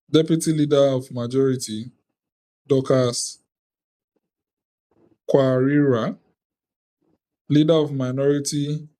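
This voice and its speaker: A Ghanaian man speaks over a video call, addressing an online youth parliament in a formal ceremonial manner. He talks slowly and deliberately, with an unhurried, careful pace. His voice sits at an ordinary middle pitch. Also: mellow. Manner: deliberate, measured, reads out lists of names.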